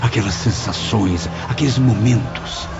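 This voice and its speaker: Man voicing a deep, solemn spirit narrator. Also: a little slow, gravelly. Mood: anguished. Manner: solemn, literary, mournful. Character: sorrowful male spirit recounting his painful memories. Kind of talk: dramatized audiobook narration. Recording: muffled, dull sound. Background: music.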